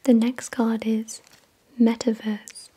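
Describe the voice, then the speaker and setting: A woman whispering softly and breathily in ASMR style, reading aloud in a deliberate, measured way. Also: on the high side, little pitch movement, breathy edge. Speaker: ASMR video creator and host. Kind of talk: soft-spoken ASMR, reading card text aloud.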